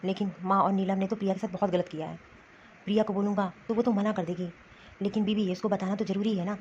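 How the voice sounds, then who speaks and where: A woman's voice: medium pitch, steady tone, fast talker, a little mellow, natural voice. A woman voicing an earnest, reproachful young husband torn between his wife and his family in a cartoon drama. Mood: troubled. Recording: dull lo-fi sound, faint noise.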